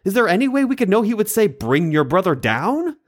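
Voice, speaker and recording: A flustered chorus of guilty brothers, their words climbing high in fear, as a male reader puts them on. The voice swoops about a great deal as they plead their innocence. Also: big pitch swings, a little fast. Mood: anxious pleading. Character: anxious guilty band of grown brothers.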